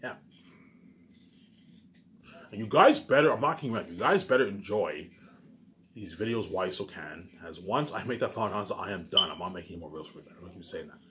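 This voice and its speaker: A man's voice: low-pitched, expressive, quick pace, long pauses, fairly bright tone. A man giving a rambling, casual monologue as a video maker, insistent, boastful and often repeating himself. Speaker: video maker talking to viewers.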